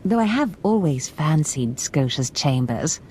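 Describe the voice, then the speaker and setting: A woman playing a smooth, scheming Sith noblewoman in a video game, theatrical, honeyed and polite, with mock innocence. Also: low voice, very animated, measured pace, mellow, a little hiss or hum. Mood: feigned innocence.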